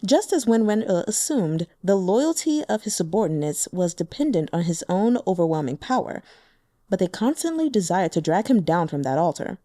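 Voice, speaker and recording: Expressive woman narrating a fantasy novel. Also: fairly low pitch, moderate pace, dry recording, clean studio sound. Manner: expressive, voices characters. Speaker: audiobook narrator. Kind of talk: reading a translated fantasy novel aloud.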